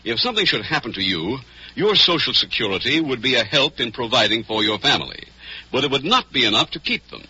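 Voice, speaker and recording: Forceful, raspy American radio announcer man. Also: mid-range voice, very animated, a little fast. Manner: emphatic, polished salesmanship. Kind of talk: 1940s radio sponsor announcing. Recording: dull, band-limited vintage radio recording.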